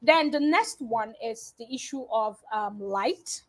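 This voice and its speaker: A woman from Nigeria speaks in a fairly high voice as an interview guest over a video call. She talks animatedly, pushing some words out loudly and letting others drop quiet, with plenty of ums. The sound comes through a call connection. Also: slow. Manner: animated, with big swings in loudness and frequent fillers. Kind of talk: casual interview talk.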